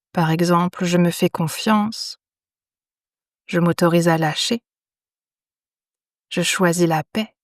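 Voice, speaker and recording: A woman leads a guided relaxation in a fairly low voice. She speaks very slowly and soothingly, leaving long pauses between phrases. The sound is dry and close, with very little room in it. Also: no room sound. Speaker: yoga nidra guide. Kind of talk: guided meditation.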